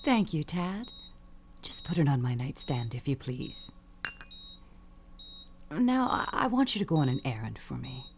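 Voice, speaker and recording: An actress playing an elderly, imperious, manipulative dying matriarch in an audio suspense drama, haughty and theatrical in manner. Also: female voice, extremely low pitch, very animated, a little slow, long pauses, a little mellow, slight background noise, exaggerated voice. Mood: solemn, coaxing. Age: older.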